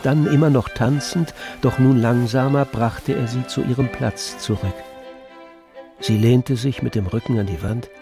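A man narrating a literary radio drama from a script in a measured storytelling manner. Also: mid-range voice, gravelly. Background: music with violin.

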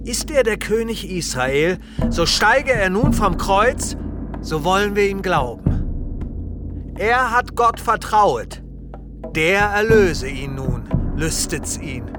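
A scornful high priest delivers taunting scripture dialogue in a radio drama. The voice sits mid-range and moves slowly and deliberately. Music is clearly heard behind it. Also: female voice, animated.

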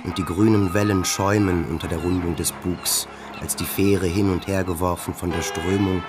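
Deep-voiced male literary narrator. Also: fairly even intonation, normal speed, loud music behind the voice. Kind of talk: scripted literary narration for radio play.

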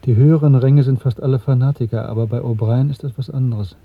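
A man's voice: mid-range voice, fairly even intonation, fast talker, breathy edge, dry recording. An actor playing an earnest, brooding middle-aged man confiding in his secret lover in a radio drama. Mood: pensive, earnest. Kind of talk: German radio play acting. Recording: muffled, narrow-band old radio recording.

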